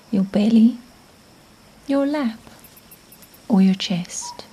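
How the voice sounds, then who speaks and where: A woman narrating a guided meditation in a gentle, soothing, hushed manner, with phrase endings fading toward a whisper. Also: mid-range voice, a little hiss or hum, low-quality recording.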